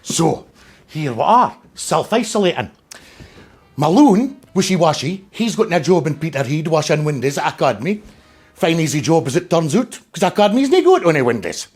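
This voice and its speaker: Man playing a husky Doric-speaking pantomime dame. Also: on the high side, sing-song, moderate pace, darkish tone, heavily acted. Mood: gossipy, mock-indignant. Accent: North-East Scottish Doric. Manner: gossipy, exaggerated. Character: chatty, cheeky middle-aged pantomime dame, a widowed mother. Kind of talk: comic pantomime monologue to the audience.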